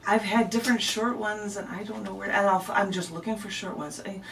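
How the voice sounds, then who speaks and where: A woman's voice: mid-range voice, steady tone, fairly quick, fairly bright tone. A woman poet on a radio show, reading her poems and chatting casually about them as a guest. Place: radio studio, recorded on a camera. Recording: noisy, distant camera recording in radio studio.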